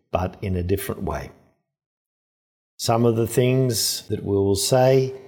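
A man speaking as a priest, giving a measured, explanatory reflection on a Gospel story. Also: fairly low pitch, warm tone. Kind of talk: reflective sermon commentary on the Gospel.

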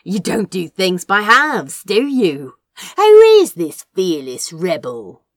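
Woman playing a casual, teasing sorcerer man, animated. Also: on the low side, no room sound, studio quality, full character voice. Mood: amused, amazed. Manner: informal, halting, exclamatory. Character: casual, warm-hearted male sorcerer, teasing and incredulous.

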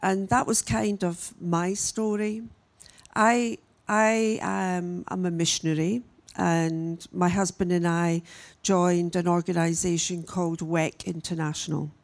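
Older lady in her 60s giving a church talk, slow and halting, kinda low voice. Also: little pitch movement. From Scotland. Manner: halting, unhurried storytelling with frequent pauses. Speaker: guest speaker, missionary. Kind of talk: church message, personal testimony.

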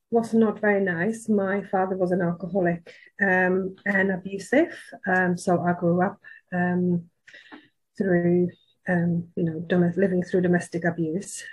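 A woman from the Republic, a guest sharing her childhood story in halting phrases broken by many ums. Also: on the low side, slightly rough, rough recording. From Czech Republic. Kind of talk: personal testimony in a podcast.

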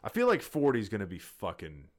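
A man's voice: lowish voice, huge pitch swings, fast talker. A man in his thirties chatting casually in a rambling, informal, sweary way, like a podcast co-host. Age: 30s. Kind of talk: casual chat, profane.

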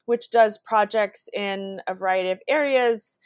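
A woman raised in the US and born in Brazil, a guest answering interview questions thoughtfully, with frequent pauses and restarts. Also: medium pitch, clear, resonant tone, no echo.

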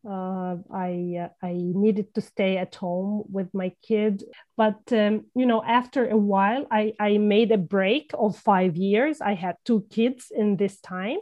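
A woman with a Levantine Arabic accent recounts her path into teaching in an unhurried, halting way. Fillers and restarted phrases run through her speech, and the pitch sits at a middle level. The sound is dull and lo-fi, like remote call audio. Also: steady tone. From Palestine. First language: Palestinian Arabic. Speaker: language teacher and former journalist, guest. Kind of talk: conversational podcast interview.

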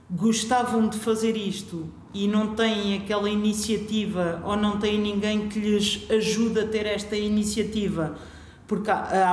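A woman from Portugal with a European Portuguese accent, a guest talking about her travels in a relaxed, thoughtful interview. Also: medium pitch, a little hiss or hum. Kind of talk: casual interview chat. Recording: echoey room with long reverb.

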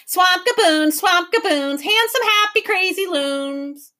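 A woman voicing a gang of jeering, furry green swamp creatures who chant and mock in a shrill, sing-song way. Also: lively intonation, slow, bright, forceful tone, heavily acted.

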